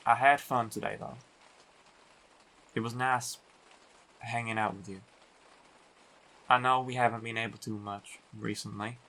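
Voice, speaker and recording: A man playing a big, teasing, affectionate biker werewolf boyfriend in an intimate roleplay audio, talking in an unhurried, colloquial way. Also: mid-range voice, level tone, pushed and bright, heavily acted, rough recording. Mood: relaxed, affectionate.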